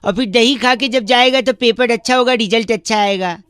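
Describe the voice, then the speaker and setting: A man's voice: extremely high pitch, fairly quick, a bit bright, clean tone, dry recording. A man voicing a cheeky, naive boy prank caller who pesters in a mock-polite, rambling way on a comedy radio show. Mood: earnest explaining. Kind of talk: comic prank call patter.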